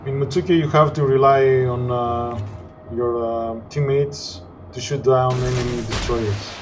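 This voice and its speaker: A man with a Greek accent, a non-native English speaker, narrating his gameplay in halting sentences full of "uh"s. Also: medium pitch, fairly even intonation, over music. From Greece. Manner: halting, searching for words with frequent "uh". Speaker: gameplay commentator. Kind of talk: gameplay commentary.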